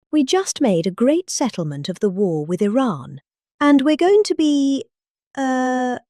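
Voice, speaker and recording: Woman performing a middle-aged British lady, pompous, indignant, theatrical emphases, dramatic pauses, lively intonation, comic speech reading, dry studio-like sound. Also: medium pitch, no room sound. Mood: pompous, pleased.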